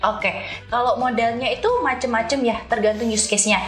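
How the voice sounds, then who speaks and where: A woman's voice: fairly high pitch, steady tone, a little fast. A woman explains her work casually in an interview, using examples and dropping in English terms. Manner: explains with examples and analogies, informal. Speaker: guest, senior data scientist. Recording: roomy echo, faint music behind.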